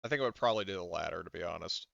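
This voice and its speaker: A low-voiced man runs a tabletop roleplaying game, working out rules aloud and often rephrasing. His tone is bright and smooth, though the dry recording is a little dulled. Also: animated, rapid-fire, dry recording. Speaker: game master. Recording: slightly muffled, band-limited voice audio.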